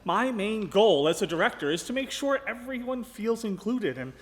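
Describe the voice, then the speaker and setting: An actor playing a guilt-ridden, exasperated radio director in a live stage radio play. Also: male voice, sits high, big pitch swings, normal speed. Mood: defensive, earnest. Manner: emphatic, exasperated, theatrical. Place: large ballroom, live before an audience. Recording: echoey hall, live stage performance.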